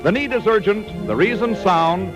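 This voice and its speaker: A man's voice: high voice, normal speed, highs cut off. A man with an American accent reading scripted announcements in 1940s radio style. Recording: vintage 1940s radio broadcast. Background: music.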